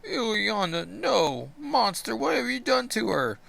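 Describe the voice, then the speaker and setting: A man's voice: sits high, sing-song, unhurried, vocal fry, pitched above the performer's own voice, heavily acted. A man voicing a strong male superhero crying out in grief over his sister. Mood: horrified. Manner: anguished, shouting.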